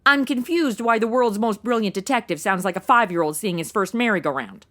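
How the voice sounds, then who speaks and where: A woman's voice: mid-range voice, expressive, fairly quick, a bit bright, lightly acted. A woman playing a brash, sarcastic modern young woman in a comedy audio drama, full of slang and exclamations. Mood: teasing, excited. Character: sassy modern young woman, snarky, brash amateur sleuth.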